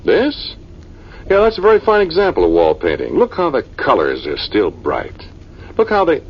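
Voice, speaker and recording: An actor playing a level-headed archaeologist who narrates an eerie tale in measured, suspenseful 1940s radio drama style. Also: male voice, a little high, huge pitch swings, breathy edge, full character voice. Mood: brisk. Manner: measured, brooding narration; brisk commands in dialogue. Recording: muffled, noisy 1940s radio recording.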